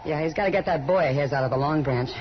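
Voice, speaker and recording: A woman's voice: sits low, fast talker, lightly acted. A woman playing a frank, worried frontier saloon lady in a 1950s radio western, talking directly and with concern. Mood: worried, exasperated. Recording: dull vintage radio recording with hiss.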